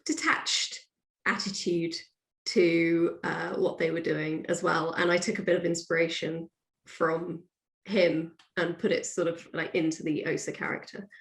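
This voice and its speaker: A woman's voice: on the low side, level tone, measured pace, fairly bright tone. A woman author being interviewed, chatting casually and thinking aloud in a discursive way. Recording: roomy, echoey sound.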